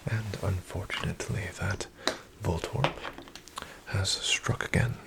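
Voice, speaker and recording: Low, whispery man doing ASMR dealer roleplay. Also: steady tone, slow pace, vocal fry, poor audio. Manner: polite, formal, deferential croupier patter.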